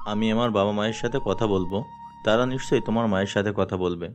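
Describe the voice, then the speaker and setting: A man's voice: on the low side, steady tone, fast talker, faint music behind, natural voice. A man playing an earnest, pleading young college student in love in a cartoon story. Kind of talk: cartoon dialogue.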